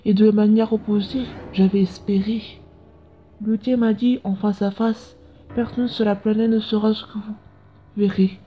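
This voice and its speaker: A woman's voice: mid-range voice, steady tone, moderate pace, slight background noise, lightly acted. A woman voicing an earnest, curious scientist in a comic dub, explaining things in a reflective way. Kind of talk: dubbed comic narration and dialogue. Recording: dull lo-fi sound with music behind.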